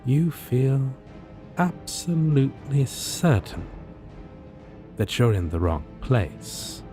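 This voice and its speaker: A man's voice: medium pitch, big pitch swings, slow, music in the background. A man narrating a scripted second-person story for a roleplay.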